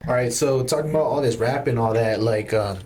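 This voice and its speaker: A man's voice: medium pitch, fairly quick, a little mellow. A man hosting a casual podcast interview, easygoing and hyping up his guest with slang. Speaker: podcast host.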